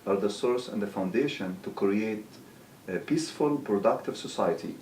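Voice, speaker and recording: A man's voice: fairly low pitch, lively intonation, a little mellow. Man, roomy and distant. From Egypt. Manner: interjecting, halting phrasing with fillers. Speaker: talk show host. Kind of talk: interview. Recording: roomy distant mic with faint hum.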